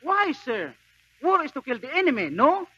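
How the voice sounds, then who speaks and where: Eager, very high-voiced young recruit. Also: male voice, sing-song, moderate pace, pushed and bright, heavily acted. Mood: polite, insistent. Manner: broken English, polite, insistent. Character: eager, polite, stubborn young Filipino military recruit. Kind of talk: 1940s radio drama acting. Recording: dull 1940s radio broadcast recording.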